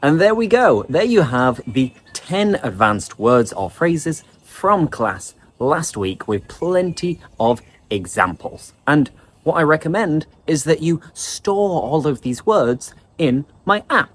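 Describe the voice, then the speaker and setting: Male English teacher, online lesson, lively and explanatory, pitch swings widely. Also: slow, breathy edge.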